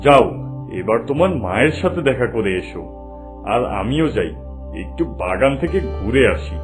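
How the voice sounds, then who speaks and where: A man's voice: mid-range voice, very animated, moderate pace, music in the background, lightly acted. A man voicing a kindly older king in a cartoon who speaks to his son in a fatherly way. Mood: reasoning. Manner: warm fatherly, commanding to servants. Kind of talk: Bengali cartoon dubbing.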